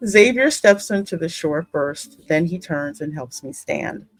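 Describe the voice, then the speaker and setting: Slow-speaking woman narrating over music, lower-pitched. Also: lively intonation, dry recording, low-quality recording. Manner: reads narration slowly, reacting aloud in asides. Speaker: gaming channel host. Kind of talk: narrating a story game with asides. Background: music behind the voice.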